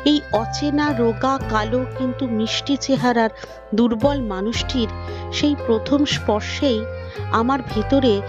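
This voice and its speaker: A woman's voice: fairly high pitch, a little slow, highs cut off, close to an everyday voice. A woman voicing a tender, motherly wife who narrates her married life reflectively and intimately in an audio story. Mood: reflective, wistful. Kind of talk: dramatised audio story narration in first person. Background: prominent music.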